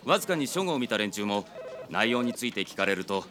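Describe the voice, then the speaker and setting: A man's voice: fairly low pitch, big pitch swings, brisk, crowd noise. A man playing a keen junior informant in a Japanese anime radio drama, reporting urgently and politely. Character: keen younger male informant reporting to his superior.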